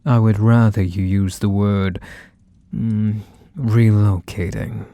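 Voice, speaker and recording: A possessive mafia boss acted out by a man croons to his lover in an ASMR roleplay. His voice is dark and very unhurried, recorded close and dry. He is teasing and condescending, with menace under the affection. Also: fairly low pitch, steady tone, creaky, no room sound. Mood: smooth, condescending. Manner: unhurried, mock-sweet, condescending pet names. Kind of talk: ASMR roleplay, intimate one-sided dialogue.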